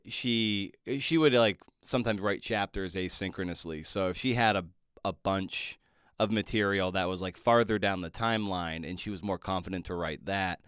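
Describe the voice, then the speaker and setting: Clear, lowish-voiced man hosting a podcast. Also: a bit bright, dry recording, studio quality. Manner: halting, searching for words. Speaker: podcast host. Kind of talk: casual interview chat.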